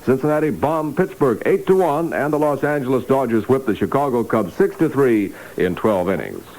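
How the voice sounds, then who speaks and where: A man's voice: medium pitch, lively intonation, moderate pace, a little mellow, rough recording. A man reading scripted copy as a radio announcer in a polished, formal 1960s newscast style. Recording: 1960s AM radio aircheck, hum and hiss.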